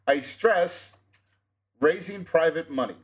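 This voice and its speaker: A man's voice: a little high, slow pace. A man hosting a podcast interview as a real estate coach, talking energetically and persuasively and checking in with his guest often. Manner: energetic and persuasive, tags with "right?".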